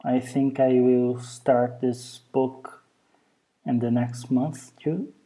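Brazilian portuguese accent, non-native english, very slow delivery, mellow dark tone, halting and hesitant, home vlog on a handheld camera. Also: mid-range voice, level tone, poor audio. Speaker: vlogger. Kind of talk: casual show-and-tell vlog. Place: indoors at home, over a bed.

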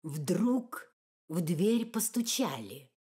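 A woman reading a fairy-tale audiobook as narrator, in an expressive, measured storytelling manner. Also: lowish voice.

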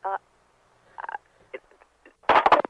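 A woman's voice: very slow, fairly bright tone, a little hiss or hum. A woman speaking politely as a customer service representative during a phone conversation. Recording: tinny narrowband telephone line.

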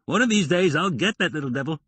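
Guy putting on a cheery stubborn photographer guy, pitched up, bouncy. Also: animated, quick pace, a bit bright, slightly rough, no echo. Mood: upbeat determined. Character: determined, easygoing male photographer.

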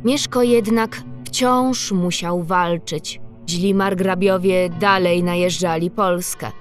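Slow-paced woman storyteller. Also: on the low side, steady tone, faint music behind. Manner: explanatory, addressing young listeners. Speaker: narrator. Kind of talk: scripted storytelling for children.

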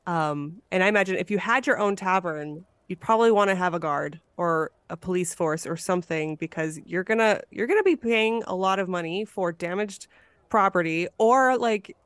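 A woman's voice: on the low side, normal speed, clean tone, dry recording. A woman with an American accent hosting a casual podcast conversation. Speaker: podcast host.

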